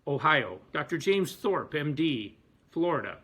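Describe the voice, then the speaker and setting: A man delivering a prepared advocacy speech as a physician, emphatic and deliberate with pauses. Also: on the high side, sing-song, pushed and bright, breathy edge. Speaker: physician and activist.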